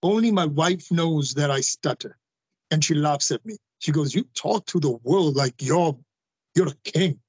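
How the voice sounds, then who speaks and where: A man in his forties talks forcefully over a video call, repeating himself for emphasis. His voice is a touch husky and sits a little high for a man. The sound is close and dry. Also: unhurried, no echo. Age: 40s. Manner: forceful, repeats phrases, addresses listeners directly. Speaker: guest. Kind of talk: emphatic interview talk.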